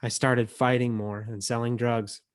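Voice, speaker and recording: A man with a mid-pitched voice hosts a literary evening over a video call. He moves between casual remarks to the group and reading poetry aloud. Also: level tone, measured pace, clean tone. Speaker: emcee and book editor. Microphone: video call microphone.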